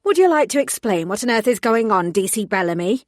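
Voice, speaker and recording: A woman voicing a stern female police superior who talks in curt, sharp demands. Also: medium pitch, lively intonation, a little fast, no room sound, studio quality, lightly acted. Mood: angry. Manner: snapping, sharp.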